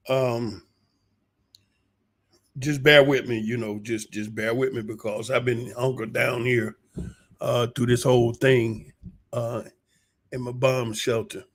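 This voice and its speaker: Slow-talking, hoarse American man. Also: mid-range voice, steady tone. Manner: halting, unhurried, folksy storytelling. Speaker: vlogger giving a personal update. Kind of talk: casual monologue to viewers. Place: small room at home, a bomb shelter used as studio.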